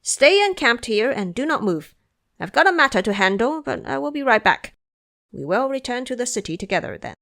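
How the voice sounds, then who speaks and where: A woman's voice: a little high, big pitch swings, a little fast, vocal fry, fairly bright tone, exaggerated voice. A woman voicing a proud, suspicious young crown prince in an audio drama, with a commanding manner. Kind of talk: audio drama character acting.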